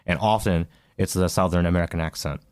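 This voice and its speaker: A man's voice: low voice, steady tone, fast talker, smooth, dry recording, studio quality. A man co-hosting a podcast in casual chat, thinking aloud with many fillers and self-corrections. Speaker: podcast co-host.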